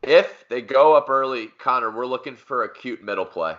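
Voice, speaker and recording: A man's voice: medium pitch, normal speed. A man hosting a podcast, talking sports casually with friends. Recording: dull, band-limited podcast audio.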